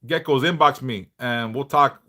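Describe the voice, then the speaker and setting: A middle-aged man a big bass voice, chatting bluntly and passionately on a livestream, swearing freely. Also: normal speed, sharp tone. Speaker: livestream host, devotional teacher. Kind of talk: casual livestream chat.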